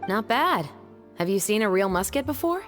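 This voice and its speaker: A woman voicing a disciplined, serious officer and weapons expert in an anime-style video game, firm, measured and instructive. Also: mid-range voice, big pitch swings, a bit bright, faint music behind. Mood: appraising, businesslike.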